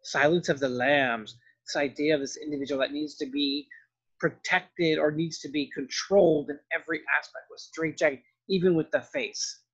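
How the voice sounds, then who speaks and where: Husky higher-pitched man lecturing informally. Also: fairly even intonation, moderate pace, fairly bright tone. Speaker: film and art history professor. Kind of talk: informal narrated slide lecture. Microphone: voice-over on slides. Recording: dull, lo-fi voice-over recording.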